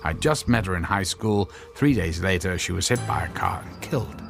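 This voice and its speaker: An actor playing a baffled, wary husband who speaks hesitantly and stammers, in a radio drama. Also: male voice, sits low, expressive, moderate pace, vocal fry, over music. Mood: wary, indignant. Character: baffled, wary husband confronted by a strange woman.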